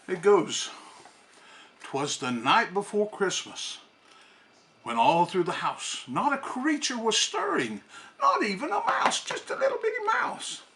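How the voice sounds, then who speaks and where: Bright, high-pitched jolly Santa. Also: male voice, full character voice. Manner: hearty, playful, sing-song, addressing children. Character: jolly old Santa Claus reading to children. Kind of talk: storybook reading of rhymed verse with playful asides.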